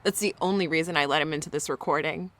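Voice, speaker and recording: A vulnerable young woman in an audio drama, opening up about herself. Her voice sits on the low side for a woman. She speaks hesitantly and confessionally, with an embarrassed honesty. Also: fast talker, a bit bright. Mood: hurt, embarrassed.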